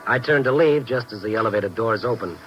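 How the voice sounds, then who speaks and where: A man's voice: lowish voice, fast talker, dull audio, lightly acted. An actor playing a world-weary, compassionate American newspaper reporter who narrates his own story in 1950s radio noir style. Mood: somber narration. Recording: vintage 1950s radio recording with music behind. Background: dramatic underscoring music.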